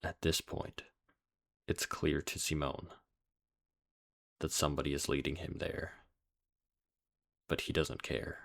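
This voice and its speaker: A man reading a scripted story recap as a narrator, in a deadpan, somber way. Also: extremely low pitch, slow, vocal fry, long pauses. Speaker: video essay narrator.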